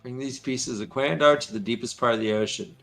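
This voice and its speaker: A man voicing a gruff male riverboat captain in a put-on Jamaican accent, giving clipped, playful orders. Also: mid-range voice, brisk, a bit bright, faint music behind, pitched below the performer's own voice.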